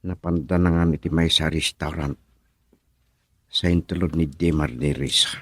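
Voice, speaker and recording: An actor playing a scheming, jealous rival suitor, brooding to himself and breaking into whispers in a radio drama. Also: male voice, very deep voice, steady tone, normal speed, fairly bright tone, no room sound.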